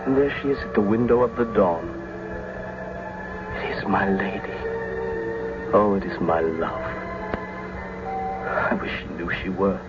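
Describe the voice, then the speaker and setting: Young nobleman in love, low poetic voice, old muffled radio play w music behind. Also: measured pace, long pauses. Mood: adoring. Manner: romantic, declamatory, poetic. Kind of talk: classical stage-style radio drama acting.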